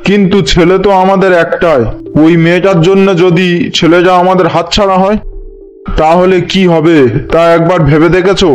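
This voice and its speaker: A man voicing a crafty, calculating middle-aged father in a cartoon, measured and persuasive. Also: sits high, level tone, faint music behind, close to an everyday voice. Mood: scheming. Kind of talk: Bengali cartoon voice acting.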